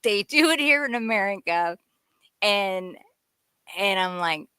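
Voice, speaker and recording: A woman hosting a radio interview, chatty and quick to laugh, asking long rambling questions. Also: mid-range voice, lively intonation, sharp tone, smooth, dry recording, studio quality. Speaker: radio show host. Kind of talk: casual interview chat.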